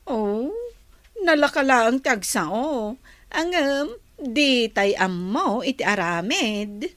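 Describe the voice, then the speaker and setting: A woman acts a skeptical, teasing Ilocano woman in a radio drama. She speaks slowly in a fairly high voice. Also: lively intonation, a bit bright, no room sound. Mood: curious, skeptical.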